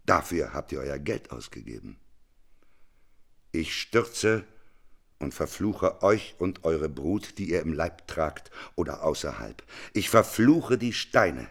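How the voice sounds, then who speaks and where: An actor playing a bitter, cursing prophet in a radio play, preaching in a declamatory, incantatory way. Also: male voice, a bass voice, sing-song, takes it slowly, creaky, full character voice. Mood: bitter, damning.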